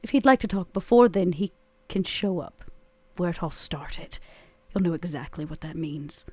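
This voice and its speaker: A woman voicing a haughty, coolly menacing vampire in a tabletop roleplay, deliberate, formal and condescending. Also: fairly low pitch, lively intonation, mellow, husky, no room sound.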